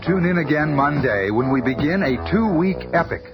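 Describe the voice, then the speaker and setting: A man's voice: on the high side, lively intonation, normal speed. A man reading a scripted radio sign-off as the announcer. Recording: dull old radio recording. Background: loud music.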